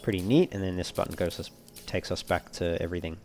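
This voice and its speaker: A man's voice: low voice, fast, darkish tone, faint music behind. A man giving casual commentary on a video game walkthrough, thinking aloud as he reads through menus. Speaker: let's player.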